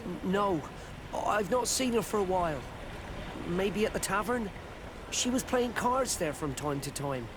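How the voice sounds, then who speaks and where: An actor voicing a friendly, courteous ship's sailor in a video game, polite and earnest. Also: male voice, sits high, expressive, unhurried, background noise. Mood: warm, earnest.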